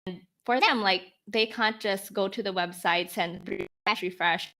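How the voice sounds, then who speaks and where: A young woman, around twenty and from the Philippines, speaks as a founder being interviewed on a podcast. Her voice is bright and forward at a medium pitch. She explains things conversationally, working through examples and rhetorical questions. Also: a little slow.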